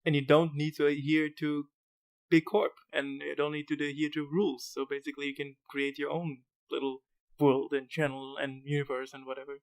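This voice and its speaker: Rambling, halting man, slightly high voice. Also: flat delivery. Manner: rambling, halting, lots of fillers and restarts. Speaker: podcast host. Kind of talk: casual podcast interview.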